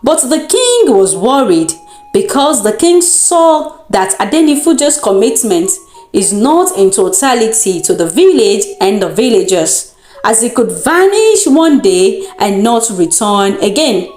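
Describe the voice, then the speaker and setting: A Nigerian woman tells a folktale in a fairly high, very animated voice. She takes her time, shaping the narration with deliberate, dramatic expression. The sound is echoey, with music underneath. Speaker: storyteller. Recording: roomy echo with music underneath.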